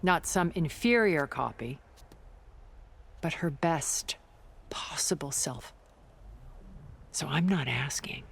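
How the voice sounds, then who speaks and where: A woman playing a commanding, possessive, centuries-old woman in a dramatic video game cutscene, speaking deliberately and imperiously. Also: deep voice, expressive, gravelly, slight background noise, exaggerated voice. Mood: cold, possessive. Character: commanding, possessive thousand-year-old woman, coldly obsessed with lost love.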